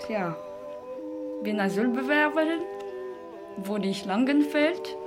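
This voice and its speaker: A woman's voice: a little high, lively intonation, takes it slowly, music in the background. A woman in her twenties from Uzbekistan, a non-native speaker, retells a story off the cuff, searching for words as she goes. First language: not German. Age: 20s. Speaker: interviewee retelling a film. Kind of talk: unscripted spoken retelling.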